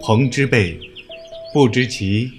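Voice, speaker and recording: A man playing a gentle young scholar of old times in an audio drama, speaking in a formal, literary and lightly amused way. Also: medium pitch, slow pace, faint music behind, lightly acted. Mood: musing. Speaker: voice actor. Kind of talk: period audio drama acting.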